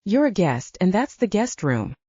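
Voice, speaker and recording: A woman narrator acts out a stubborn, guarded young heroine in a paranormal audiobook. She is wry and firm, with animated intonation and a slightly muffled recording. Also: medium pitch, normal speed, breathy edge. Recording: dull lo-fi, roomy sound.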